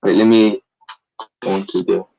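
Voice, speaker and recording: A man's voice: low voice, level tone, moderate pace, creaky, warm tone, no room sound, muffled recording. Hesitant, doubtful man caller. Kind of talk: casual call-in conversation.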